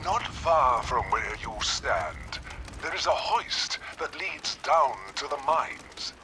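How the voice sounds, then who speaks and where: An actor playing a sly, suave prophet in a video game, theatrical and mockingly helpful. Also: male voice, fairly high pitch, sing-song, slow, pushed and bright, a little hiss or hum, exaggerated voice. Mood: sly, coaxing.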